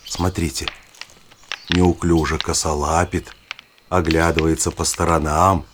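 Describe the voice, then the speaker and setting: A man playing a knowledgeable nature guide, narrating a children's audio play in a measured, expressive, explanatory way. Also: deep voice, heavy background noise. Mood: inviting storytelling. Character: knowledgeable guide showing children forest animals. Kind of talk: scripted storytelling narration for children.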